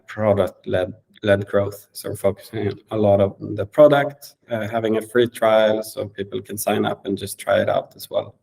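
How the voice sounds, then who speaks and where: A man with a low, gravelly voice speaks as a guest on a webinar call. He answers casually and hesitantly, stopping often on fillers and restarting his sentences. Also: rough recording. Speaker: CEO guest.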